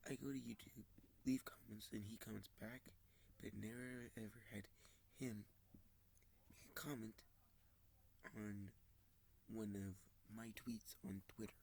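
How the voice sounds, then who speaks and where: A man with a stuffy, sniffly voice talks slowly and haltingly in a casual vlog, often dropping to a soft, whispery tone. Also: lowish voice, steady tone, vocal fry, slight background noise, poor audio. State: congested, sniffling. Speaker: model train channel host. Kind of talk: casual screen-recorded vlog.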